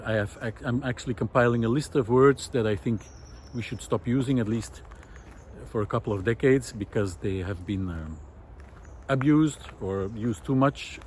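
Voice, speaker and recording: A man speaking a reflective, unscripted monologue, thoughtful and halting as he searches for words. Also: lowish voice. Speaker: host of a nature project podcast. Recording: outdoor city noise, wind, distant traffic. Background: faint city noise, wind, vehicles.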